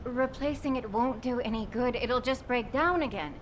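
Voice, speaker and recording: Actress as concerned game scientist, high register, urgent, precise, protective; faint rumbly noise behind. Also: female voice, normal speed, natural voice. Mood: grave, insistent. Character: concerned female technical expert, protective and earnest. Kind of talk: dramatic video game voice acting.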